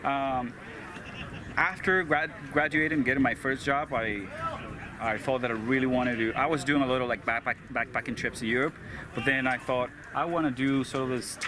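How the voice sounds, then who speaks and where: A man's voice: medium pitch, moderate pace. Venezuelan man, outdoor interview. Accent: Venezuelan Spanish. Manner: hesitant, many fillers and restarts. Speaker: interview guest. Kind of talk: casual interview chat. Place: outdoors at an overland rally. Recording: outdoor recording with clear background noise.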